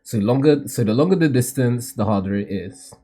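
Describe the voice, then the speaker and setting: A man voicing a solemn, cryptic mentor who sees the future, in a theatrical, measured, formal manga read-aloud. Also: mid-range voice, expressive, mellow, natural voice.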